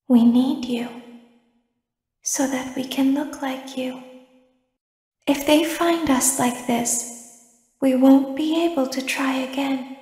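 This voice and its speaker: An eerie animatronic girl from a horror game. The voice is high and very slow, calm yet pleading and softly insistent, in a roomy echo. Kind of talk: scripted video game voice acting. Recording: roomy, echoing game audio.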